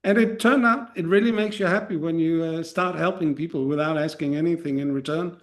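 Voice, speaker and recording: A man's voice: a little high, fairly quick. A man in his forties with a Danish accent, a podcast guest talking frankly and self-deprecatingly in a casual interview, with plenty of fillers and restarts. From Denmark. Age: 40s.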